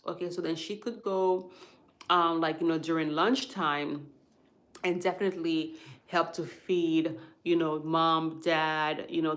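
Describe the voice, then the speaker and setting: Woman, livestream host, unhurried delivery, lowish voice, keeps asking "okay?". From Haiti. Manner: explains slowly, checks in with "okay?" after most points. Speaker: hospice worker and livestream host. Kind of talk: live stream talk with viewers.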